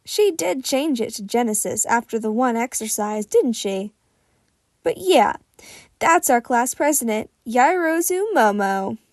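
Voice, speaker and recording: A woman's voice: a little high, lively intonation, a little slow, no echo, clean studio sound. Woman playing perky teen hero boy. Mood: upbeat. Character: bright, upbeat teenage boy hero student.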